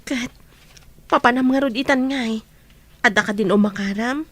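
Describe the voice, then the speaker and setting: A woman's voice: a little high, normal speed, natural voice. A woman playing a concerned, supportive friend in an Ilocano radio drama.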